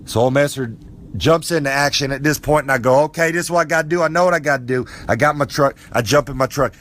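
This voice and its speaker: A man's voice: on the high side, fast talker, fairly bright tone, a little hiss or hum, lightly acted. A man voicing a boastful, folksy old male wrestler character with a drawl who rambles and preaches. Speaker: podcast character segment.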